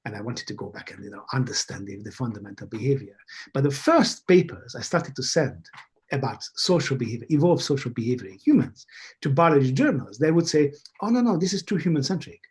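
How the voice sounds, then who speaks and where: A man from Hungary, speaking as an academic guest in a halting, musing way that leans on key words, over a video call. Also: mid-range voice, lively intonation, slightly rough. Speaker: academic guest, behavioral scientist. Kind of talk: thoughtful interview conversation.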